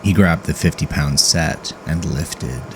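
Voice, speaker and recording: A man narrating a scripted dark horror story in a grave, measured, dramatic way, drawing out his words. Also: rumbling low, slight background noise.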